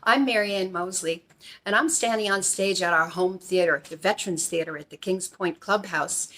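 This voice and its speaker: A woman's voice: fairly low pitch, fairly bright tone. A woman presenting a theater show as its director, in a scripted introduction.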